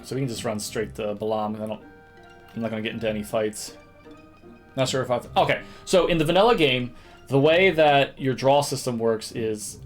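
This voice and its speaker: A man streams a video game with casual, think-aloud commentary. His voice sits at an average pitch, and he sometimes hums to himself. Game music plays behind him. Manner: thinks aloud, hums little nonsense tunes.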